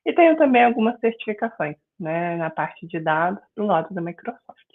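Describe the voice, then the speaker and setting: A middle-aged woman with a Mineiro Brazilian accent gives a friendly, explanatory lesson, dotting her sentences with "né" and "é". Her voice sits at an ordinary pitch for a woman. It comes through a narrow, tinny online-call line that sounds like a phone. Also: sing-song, normal speed. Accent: Mineiro Brazilian Portuguese. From Minas Gerais, Brazil. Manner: friendly, explanatory, frequent "né" and "é" fillers. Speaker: guest instructor. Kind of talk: online class lecture.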